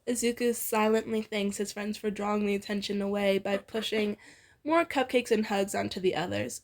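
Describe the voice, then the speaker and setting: A woman co-narrating fan fiction in an informal, playful storytelling read. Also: mid-range voice. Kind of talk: expressive fan fiction reading.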